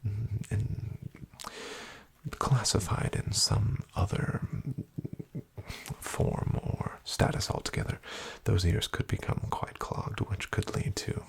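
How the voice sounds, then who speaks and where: Slow whispering man with gravelly fry. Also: on the low side, little pitch movement. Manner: whispered, formal and dryly ironic. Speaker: ASMR roleplay doctor. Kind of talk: soft-spoken ASMR roleplay.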